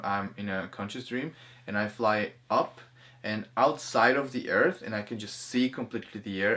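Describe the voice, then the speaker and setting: A man talking casually as host of a discussion, haltingly, often repeating words and restarting his sentences. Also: on the low side, a bit bright. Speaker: host of a spirituality film discussion. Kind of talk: casual conversational commentary.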